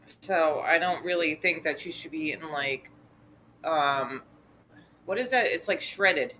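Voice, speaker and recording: A woman's voice: low voice, unhurried, bright, forceful tone. A woman hosting a casual live hangout, chatting informally. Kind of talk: casual chat. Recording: clear background noise.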